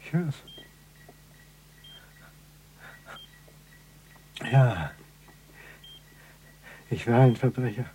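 An actor playing a dying criminal in a radio crime drama, a bitter, proud loner who speaks weakly in terse, halting, sardonic phrases. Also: male voice, mid-range voice, slight background noise, lightly acted, poor audio. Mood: wry, fading.

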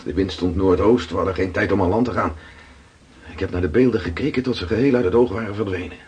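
Man as a low, husky seafarer telling tales, radio drama. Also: darkish tone. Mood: recounting. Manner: slow, vivid recounting. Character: weathered Dutch sailor telling voyage tales. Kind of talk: radio play acting.

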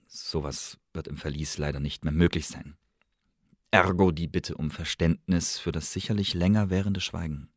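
A man's voice: deep voice, fairly even intonation, moderate pace, no echo, clean studio sound. An actor playing a young Elizabethan poet-spy in a radio play, voicing his letters home in a lyrical, savoring manner. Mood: solemn, tender. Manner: lyrical, savoring descriptive lists. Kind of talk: German radio play monologue, literary letter.

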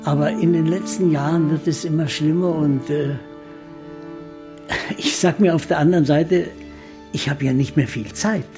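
A man's voice: a little high, steady tone, moderate pace, long pauses, a little mellow, faint music behind, lightly acted. An actor playing a lonely, courteous man in his eighties, speaking reflectively in an improvised radio drama. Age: 80s.

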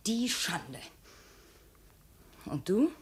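An actress playing a dignified, reproachful young slave who was once a princess, in a formal 1950s German radio play style. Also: female voice, mid-range voice, sing-song, drawn-out words, husky, full character voice. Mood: bitter. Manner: formal, pointed, composed.

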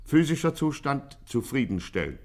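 An actor playing an impassive male announcer reading a dossier in a 1950s radio play, clipped and deadpan. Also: mid-range voice, normal speed, natural voice. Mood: brisk, official.

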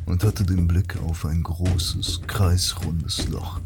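A man narrates a fantasy audio drama in a very low voice. He reads the scripted story at a slow, measured pace, with loud music underneath. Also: level tone, creaky.

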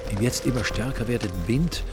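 A man narrating a suspenseful rural crime story in a scripted radio drama, with a creaky voice. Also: medium pitch, brisk, natural voice. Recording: music under the voice. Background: music.

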